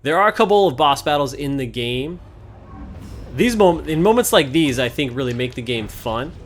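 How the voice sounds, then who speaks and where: A man's voice: mid-range voice, lively intonation, moderate pace, slight background noise. A man giving casual, conversational gameplay commentary as the host of a video game series. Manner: informal, conversational. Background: game music.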